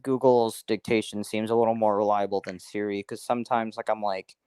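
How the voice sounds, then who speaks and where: A man's voice: on the low side, level tone, brisk, a little mellow, clear, resonant tone, no echo. A man hosting his regular livestream, chatting casually and with strong opinions, rambling and restarting his sentences. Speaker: livestream host. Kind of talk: casual chat.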